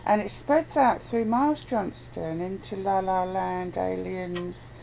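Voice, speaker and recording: A woman's voice: medium pitch, slow pace, vocal fry. A woman chatting casually and conversationally. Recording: faint background noise.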